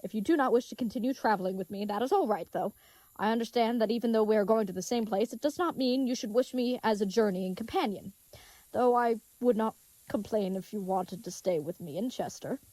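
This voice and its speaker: A woman's voice: mid-range voice, brisk, dark tone, no room sound, natural voice, low-quality recording. A woman playing a big, earnest, dim-witted young knight in an audio drama, speaking in florid, old-fashioned, chivalrous phrases. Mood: cheerful, courtly.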